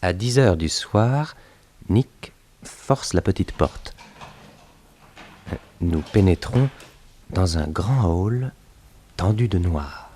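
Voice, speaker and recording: Male narrator-sidekick, sixties radio crime serial: low, husky, unhurried storytelling. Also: animated, warm tone, full character voice. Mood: suspenseful, sober. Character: detective's loyal male assistant recounting the case. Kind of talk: first-person narration in 1960s French radio drama.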